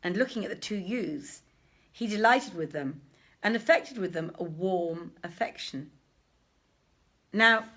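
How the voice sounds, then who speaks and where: A woman reading a literary tale aloud as a storyteller, theatrical and deliberate, with dramatic pauses and swells. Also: mid-range voice, pushed and bright. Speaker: storyteller, reader.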